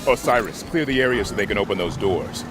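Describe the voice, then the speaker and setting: A man's voice: fairly low pitch, quick pace, very noisy, close to an everyday voice. A man acting a decisive, terse military squad leader in a science fiction video game. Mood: controlled, commanding. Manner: terse, commanding. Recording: loud music and battle sounds behind.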